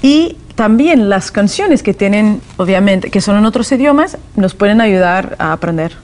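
A woman's voice: mid-range voice, expressive, normal speed, a little mellow. Woman guest explaining with many fillers. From Soviet Union, raised in California. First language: Russian. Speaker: guest, language teacher. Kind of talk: TV interview.

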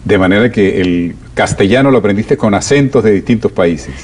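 A man's voice: on the low side, moderate pace, a little mellow, husky. A man hosting a TV interview, asking leading questions and summarizing his guests' answers. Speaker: TV host.

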